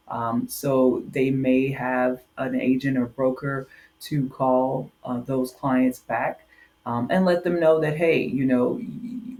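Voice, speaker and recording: A man speaks at a middle pitch, explaining things informally as an insurance agent would to clients. His delivery is conversational and a little halting, with frequent hesitations between phrases. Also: darkish tone.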